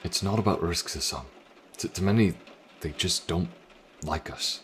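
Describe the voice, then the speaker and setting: A man playing a calm, paternal priest mentor in an audio drama, with measured, solemn, reassuring speech. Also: low voice, a little hiss or hum, natural voice. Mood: calm counsel.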